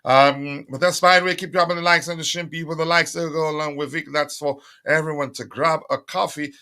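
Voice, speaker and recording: A man's voice: fairly high pitch, fairly even intonation, fairly quick, sharp tone. A man hosting a casual live stream, chatting with viewers and reading their comments, with lots of fillers and his voice a little strained. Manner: chatty, many fillers, repeats calls for likes. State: voice strained, recovering. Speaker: livestream host.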